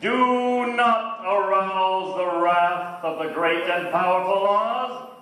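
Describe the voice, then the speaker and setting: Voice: medium pitch, fairly bright tone. A performer playing a pompous, wrathful great wizard apparition in a school stage play. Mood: imperious, angry. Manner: pompous, drawn-out commands. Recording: echoey stage, distant microphone.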